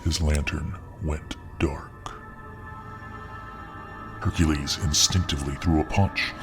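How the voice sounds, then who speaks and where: A man narrating a fiction story in a soft, ASMR style. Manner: slow, soft, deep. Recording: narration over clear music.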